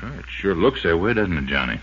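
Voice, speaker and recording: A man's voice: sits low, very animated, brisk, fairly bright tone, slightly rough, full character voice. A man playing an uneasy, deferential, folksy sidekick with an American accent in a 1950s radio drama. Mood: hesitant. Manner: hesitant, polite. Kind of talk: 1950s radio western-flavored drama acting. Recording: noisy, dull 1950s radio recording.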